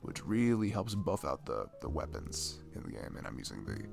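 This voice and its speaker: A man's voice: a bass voice, very animated, moderate pace, gravelly, music in the background, voice pushed down, full character voice. A man playing a shy, dorky best friend in an ASMR roleplay, chatting casually in a rambling, stammering, nerdy way. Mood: nerdy, excited. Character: dorky shy young male best friend, playful gamer.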